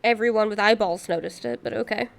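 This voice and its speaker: A woman's voice: mid-range voice, fast, vocal fry. A woman streaming a game, playful and casual, reading narration aloud and dropping in her own asides. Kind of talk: playthrough commentary, reading narration text aloud.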